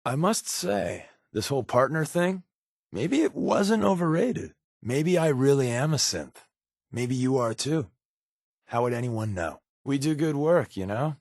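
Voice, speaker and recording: An actor playing a wry, secretive undercover rebel agent in a video game, with casual, sardonic, slangy delivery and a husky voice. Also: male voice, mid-range voice, big pitch swings, unhurried, darkish tone, no room sound, studio quality. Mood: friendly, candid. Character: wry, secretive undercover rebel agent, adult man, easygoing but guilt-ridden. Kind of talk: conversational video game character dialogue.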